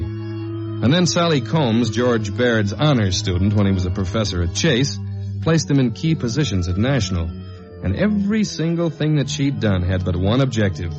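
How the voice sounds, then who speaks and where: A man's voice: fairly low pitch, natural voice. An actor playing a tough, confident, wry male investigator in a 1950s-style radio detective drama, plain-spoken and self-assured. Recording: dull vintage radio recording with music.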